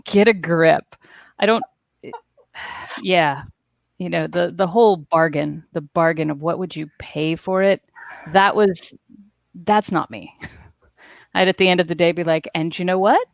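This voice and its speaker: Wry middle-aged woman, creaky low voice. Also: unhurried, pauses often, dry recording. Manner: wry, self-deprecating, emphatic repetition. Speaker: interview guest, author. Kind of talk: casual interview answers. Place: dim indoor room at home, video call.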